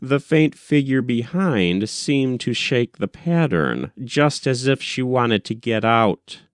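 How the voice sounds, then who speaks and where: Slow, smooth-voiced man narrating expressively. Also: medium pitch, expressive, no echo, studio quality. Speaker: audiobook narrator. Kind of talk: expressive literary narration, reading aloud.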